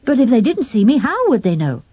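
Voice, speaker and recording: A woman voicing a young boy who is scared but defiant, speaking high and strained, in a storybook reading. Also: huge pitch swings, fairly quick, warm tone, dry recording. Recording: dull lo-fi dry audio.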